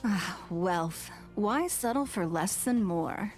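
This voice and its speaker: An actress playing a shrewd, poised, imperious woman in a video game, measured and haughty. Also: medium pitch, animated, soft background music. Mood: self-satisfied.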